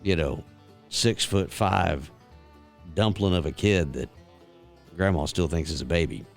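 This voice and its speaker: A man's voice: low-pitched, measured pace, vocal fry, soft background music. A man hosting a country radio show, teasing and joking in casual chat.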